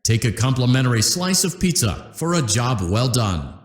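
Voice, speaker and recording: A man's voice: mid-range voice, very animated, moderate pace, echoey room, close to an everyday voice. A man voicing an upbeat corporate repair-training instructor in a game, giving polite, cheerful step-by-step instructions. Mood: instructive.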